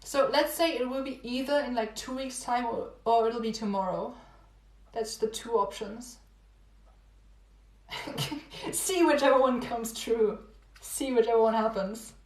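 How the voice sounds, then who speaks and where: A young woman chatting casually with livestream viewers, rambling and repeating herself with little laughs, her voice going hoarse. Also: fairly high pitch, a little slow. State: losing her voice. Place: home room. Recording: echoey room, phone livestream.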